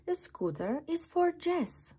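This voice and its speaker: A woman's voice: extremely high pitch, very animated, slow, dark tone, pitched above the performer's own voice, heavily acted. A woman voicing a small, eager, kind-hearted slug from a storybook, earnest and exclamatory. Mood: curious, dismayed.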